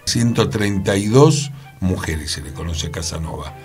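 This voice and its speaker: Animated Argentine man with music behind. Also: low voice, normal speed. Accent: Argentine, Rioplatense. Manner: chatty, engages listeners with rhetorical questions. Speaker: radio co-host, actor. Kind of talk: conversational storytelling about theatre history.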